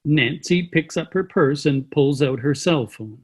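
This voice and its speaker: A man's voice: a little high, a little slow, husky. A man reading stage directions as scripted narration for an amateur play. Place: online video-call play reading.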